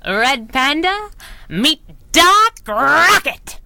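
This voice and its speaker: An actress playing a feisty, wisecracking masked crime-fighting sidekick in a radio drama, with sassy, teasing delivery. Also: female voice, sits high, sing-song, very slow, vocal fry, full character voice. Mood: amused. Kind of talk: 1930s-style radio drama acting.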